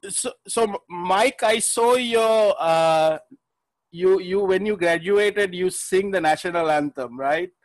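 A man hosts a relaxed podcast chat with a light, high voice. He is friendly and easygoing, filling pauses with "uh" and laughing softly. The sound is dry and close. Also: no room sound, rough recording. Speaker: podcast host. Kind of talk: casual interview. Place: Manila.

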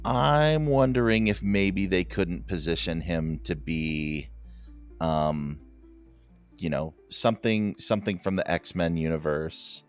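A man with a very deep voice chats about films in a halting way, full of fillers and restarts, with the odd dry joke. Faint music sits under his voice. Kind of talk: casual chat about TV and film.

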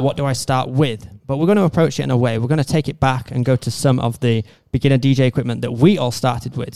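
Gravelly-voiced young man hosting a podcast. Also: medium pitch, darkish tone, no echo, clean studio sound. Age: 20s. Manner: upbeat, enthusiastic presenter. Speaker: podcast host. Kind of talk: casual chat.

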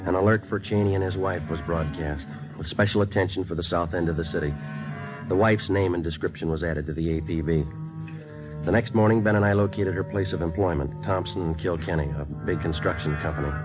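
A man's voice: sits low, a little fast, natural voice. An actor playing a male police detective who narrates the case in clipped, matter-of-fact sentences, in a 1950s radio drama. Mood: steady. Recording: muffled old radio broadcast with music.

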